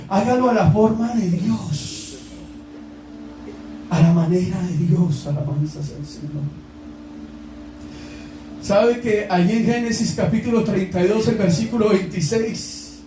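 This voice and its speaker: A man's voice: very high-pitched, dark tone, echoey room, recorded from a distance, a little hiss or hum, rough recording. A man with a Colombian accent preaching a sermon to his congregation, impassioned and calling out to the people in front of him. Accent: Colombian Spanish. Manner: impassioned, exclaiming, direct address to the congregation. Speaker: pastor. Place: church hall.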